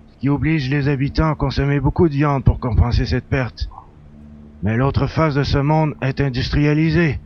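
A man's voice: a little high, close to an everyday voice. Man acting a space hunter, slightly muffled sound. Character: male space hunter piloting a zoo transport, forthright, matter-of-fact. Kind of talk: audio drama acting.

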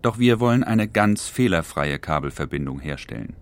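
An actor playing an exacting nineteenth-century engineer, giving reports in formal, precise diction as a dramatized reading. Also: male voice, sits low, animated, normal speed, no echo. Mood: concerned, exacting. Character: 19th-century male engineer reporting on cable laying, exacting.

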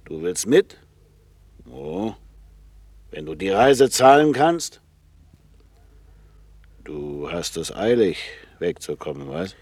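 An actor playing a proud, knowing seafarer in a 1960s German radio play, with a musing storyteller's manner. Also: male voice, low voice, very animated, a little mellow, breathy edge, dry recording, full character voice. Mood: curious, gruffly obliging. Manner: slow, musing, storytelling, with swings from boastful to confiding.

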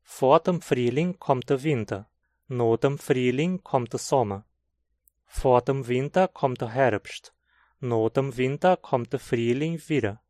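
A man from southern Brazil with a Hunsrik German accent, reading a scripted textbook dialogue in a slow, clear teaching manner. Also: medium pitch, warm tone, no room sound, studio quality. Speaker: language course reader.

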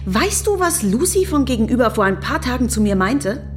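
Sharp-tongued, mocking wife, played by an actress. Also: female voice, on the high side, big pitch swings, normal speed, faint music behind. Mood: exasperated, insistent. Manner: sarcastic, teasing, needling. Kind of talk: German radio play dialogue.

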